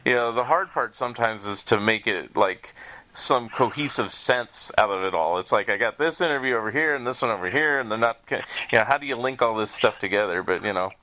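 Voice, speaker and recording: A man with a bright, crisp-edged voice at an average male pitch chats as a podcast host. His talk is informal and conversational, strung together with loose filler phrases. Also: animated, brisk, poor audio. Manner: informal, peppered with you know and like.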